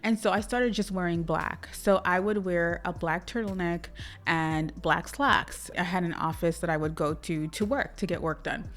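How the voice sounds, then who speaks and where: A woman's voice: lowish voice, moderate pace, fairly bright tone. A woman from Trinidad and Tobago with a Trinidadian accent, talking to camera in a casual, encouraging advice vlog for her channel. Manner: chatty, encouraging, talks straight to the viewer. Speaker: YouTuber and business coach.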